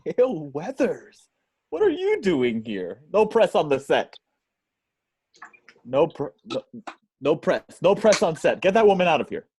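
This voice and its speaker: A man's voice: a little high, wildly swooping pitch, a little slow, pauses often, a little mellow, slight background noise. A man playing an irritable, bossy Hollywood producer in an amateur table reading of a horror script. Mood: irritated, commanding.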